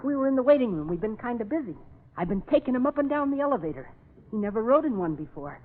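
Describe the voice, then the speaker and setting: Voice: medium pitch, big pitch swings, fast talker. A performer playing an eager, cheeky young American office boy in a 1940s radio drama. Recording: tinny 1940s radio recording.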